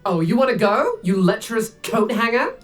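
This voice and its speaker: A woman's voice: a little high, sing-song, normal speed, soft background music. A woman voicing a furious, foul-mouthed adventurer who hurls florid insults in a visual novel game.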